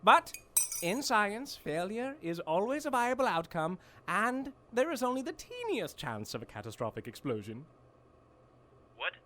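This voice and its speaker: An actor playing a giddy, tactless mad scientist in a radio drama, theatrical and cheerfully pompous. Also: male voice, high-pitched, big pitch swings, a little slow, sharp tone, a little hiss or hum, exaggerated voice. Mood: blithely cheerful.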